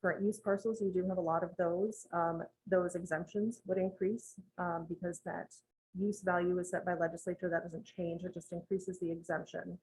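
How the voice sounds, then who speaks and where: A woman advising a town board on budgeting, explaining rules in a measured, methodical way with frequent ums, in a town meeting room. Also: on the low side, flat delivery, darkish tone, low-quality recording. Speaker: municipal finance advisor presenting to a town board.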